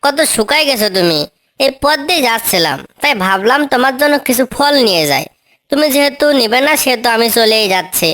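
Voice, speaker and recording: A woman's voice: fairly high pitch, lively intonation, a little fast, a bit bright. A woman voicing a small, clever female bird in a children's cartoon, with a coaxing, pleading manner. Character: small clever female tailorbird, helpful, wheedling niece. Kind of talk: children's cartoon story dialogue.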